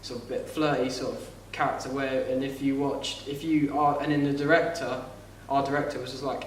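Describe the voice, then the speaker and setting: A young man with a light voice, higher than most men's, chatting on a podcast in a rambling, halting way. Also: little pitch movement, a little hiss or hum. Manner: rambling, halting, with frequent restarts. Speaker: podcast co-host, actor. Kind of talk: casual chat. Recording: echoey room, mic a bit far off.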